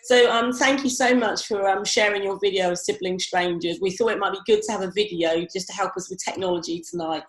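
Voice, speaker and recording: A woman's voice: medium pitch, steady tone, fairly quick, hardly any pauses, roomy sound, low-quality recording. A woman with family roots in Barbados hosting an online interview, friendly and encouraging, with frequent "um"s. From Britain, family from Barbados. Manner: friendly, encouraging, filler-heavy. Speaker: event host and competition organiser. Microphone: video call.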